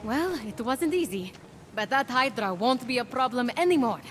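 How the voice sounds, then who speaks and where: Woman playing an eager high-voiced young heroine. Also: lively intonation, normal speed, close to an everyday voice. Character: eager young female aspiring hero, bold and upbeat. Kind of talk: video game cutscene voice acting. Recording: music behind the voice. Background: music.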